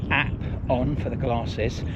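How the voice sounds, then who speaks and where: A man's voice: medium pitch, fairly even intonation, slow, lo-fi sound. A man co-hosting a casual product review vlog, chatting in an easygoing way and laughing often while out on a bike ride. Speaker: vlog co-host. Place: outdoors on a hillside on a bike ride. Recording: outdoor noise behind.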